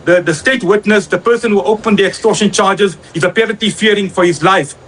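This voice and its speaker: A man giving a live news report as a reporter, somewhat halting with repeated words. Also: sits high, fairly bright tone, a little hiss or hum, low-quality recording.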